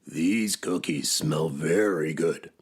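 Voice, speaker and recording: A gruff toad from a children's storybook, acted out by a man reading aloud. The voice is deep and husky, with slow, emphatic lines full of fretting impatience. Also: full character voice. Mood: eager. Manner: blunt, emphatic, dramatic.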